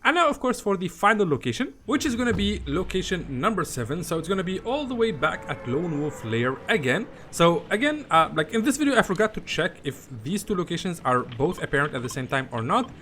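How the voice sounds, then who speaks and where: A man's voice: high-pitched, very animated, fairly quick, hardly any pauses, pushed and bright, slight background noise. A man giving a casual, rambling game walkthrough, often repeating himself in a conversational way. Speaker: gaming video host.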